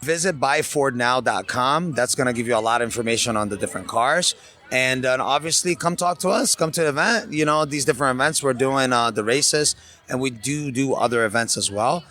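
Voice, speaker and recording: A man's voice: mid-range voice, a little fast, sharp tone. A man working a vendor booth, chatting enthusiastically in an outdoor interview at a race event. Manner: enthusiastic, conversational.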